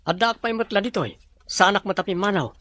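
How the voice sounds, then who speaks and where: A man's voice: sits high, expressive, quick pace, sharp tone. A man acting an evasive, complaining employer and fiancé in a radio drama. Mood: defensive.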